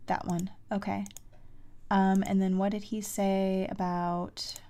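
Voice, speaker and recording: A woman's voice: mid-range voice, flat delivery, slow pace, dark tone, a little hiss or hum. A woman doing casual live game commentary as a streamer, thinking aloud and talking to herself with mild exclamations. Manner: self-talking, with mild exclamations and sing-song filler syllables. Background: music.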